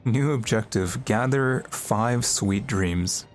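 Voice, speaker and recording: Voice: medium pitch, takes it slowly, faint music behind. A streamer giving casual livestream game commentary in a wry, offhand way.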